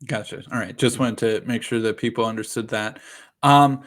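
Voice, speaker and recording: A man's voice: mid-range voice, normal speed. Casual male interview host. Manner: relaxed, informal, slightly rambling questions.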